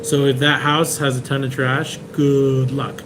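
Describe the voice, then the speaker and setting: A man's voice: mid-range voice, measured pace. A man from Venezuela hosting a live question-and-answer stream, chatting casually and reading out viewer comments with plenty of "um"s. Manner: relaxed, chatty, many "um"s and restarts. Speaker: car importer hosting a live Q&A. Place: indoors in a rented apartment. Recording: clear room noise and AC hum.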